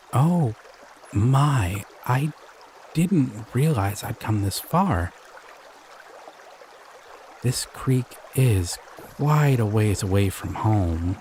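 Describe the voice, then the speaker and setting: A man voicing a gentle, slow-talking slime. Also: medium pitch, slight background noise. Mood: musing. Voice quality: soft-spoken, easily delighted, chuckles often. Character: gentle, curious young male slime forager. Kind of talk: scripted audio roleplay.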